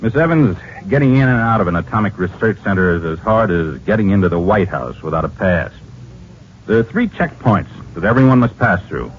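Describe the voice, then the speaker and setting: An American actor playing a grave, formal government agent in an old-time radio drama. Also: male voice, lowish voice, sing-song. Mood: serious, official. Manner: formal, measured, official. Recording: muffled, noisy old radio recording.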